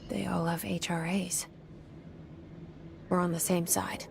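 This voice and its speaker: A woman's voice: lowish voice, level tone, slow pace, creaky, very noisy, full character voice. An actress voicing a young woman's wry inner monologue in a video game, muttered as if to herself. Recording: music underneath.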